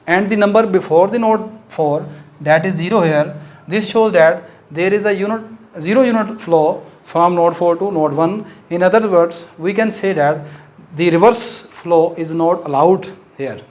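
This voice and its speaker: A man's voice: high-pitched, a little mellow. Urdu-accented man explaining a maths lecture. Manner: measured, explaining step by step with frequent "uh" fillers.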